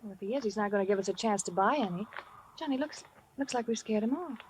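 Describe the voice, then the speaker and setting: An actress playing a young woman, the daughter of the household, in a 1950s radio drama, light and expressive. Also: mid-range voice, fast, slight background noise, lightly acted, poor audio. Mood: light, curious.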